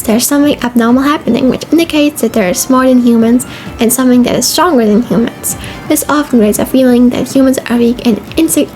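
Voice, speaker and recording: A woman's voice: on the high side, a little mellow. A young woman giving a casual, scripted video essay narration in an informal way, sometimes stumbling over long words. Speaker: video essay host and streamer. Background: background music.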